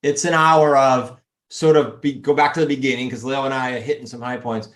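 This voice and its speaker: A man with a New England accent, a podcast guest talking energetically and laying out steps. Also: fairly quick. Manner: energetic, pitching steps and links. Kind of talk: casual interview talk. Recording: echoey room.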